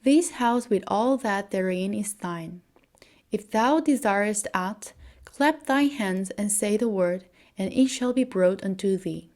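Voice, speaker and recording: A woman's voice: medium pitch, animated, measured pace, a little mellow, lightly acted. A woman voicing a formal, commanding male beast in a storybook, with stately archaic phrasing. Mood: courteous.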